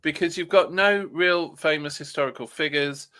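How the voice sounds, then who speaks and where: A man talking casually as a podcast panellist, sometimes pausing to search for his words. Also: a little high, bright, forceful tone. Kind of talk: casual fan discussion.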